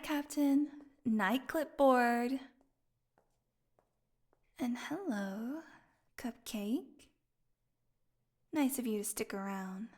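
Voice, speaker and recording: An actress voices a captive vampire woman in an audio roleplay, her voice fairly high and very unhurried. She is starved, flirty and sardonic, and her teasing lines often sink toward a breathy whisper. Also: darkish tone. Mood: taunting, teasing. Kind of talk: audio roleplay acting, intimate one-sided dialogue.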